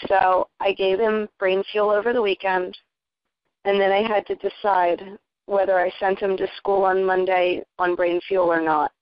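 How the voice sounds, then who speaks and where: A woman's voice: mid-range voice, level tone, normal speed, smooth, no echo, dull audio. A middle-aged woman giving a casual personal testimonial, telling her story conversationally as a parent on a recorded call. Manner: conversational, retelling dialogue in quotes. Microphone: recorded conference call.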